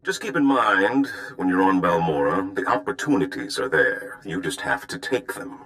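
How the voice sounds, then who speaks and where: Lively, low-voiced sly operator. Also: male voice, moderate pace. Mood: sly, encouraging. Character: sly, easygoing male underworld operative briefing a captain. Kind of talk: video game acting.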